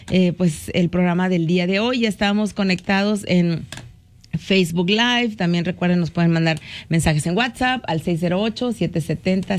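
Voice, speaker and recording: A woman from Mexico hosting a morning radio talk show, chatty with frequent fillers and backchannel interjections. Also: fairly low pitch.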